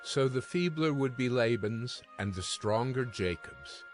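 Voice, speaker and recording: Man, scripture narrator. Mid register, measured solemn storytelling over a clear music bed. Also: mid-range voice, animated.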